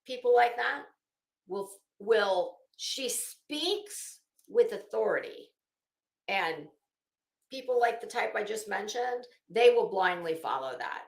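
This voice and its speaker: A woman's voice: mid-range voice, expressive, takes it slowly, creaky, reverberant. A woman giving opinionated, casual live commentary as a host, blunt, combative and emphatic, with free swearing.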